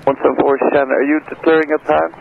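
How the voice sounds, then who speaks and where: Fast-talking male air traffic controller on radio. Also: a little high, little pitch movement, creaky. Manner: procedural, businesslike. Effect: aviation radio. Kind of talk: clipped radio instructions. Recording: noisy, narrow-band air traffic radio.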